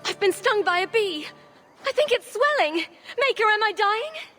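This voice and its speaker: A woman's voice: very high voice, big pitch swings, normal speed, a bit bright, faint music behind, full character voice. A woman voicing a melodramatic, swooning noblewoman in a video game cutscene. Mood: mock anguish. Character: melodramatic swooning noblewoman feigning a dying emergency.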